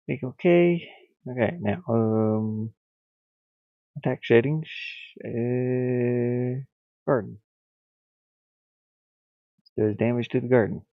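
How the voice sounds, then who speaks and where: A man thinking aloud on a casual livestream as he works, muttering in a halting, absent-minded way. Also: medium pitch. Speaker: livestreamer, hobby game developer. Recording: boxy echo, thin phone-like sound.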